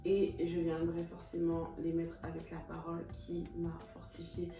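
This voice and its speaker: A woman's voice: on the low side, monotone, normal speed, distant mic. Chatty thirty-year-old woman vlogging in an echoey room. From Congo (Kinshasa). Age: 30s. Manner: warm, chatty, laughs often, mixes in English words. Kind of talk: casual self-introduction vlog. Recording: echoey room, voice a bit off-mic, music behind.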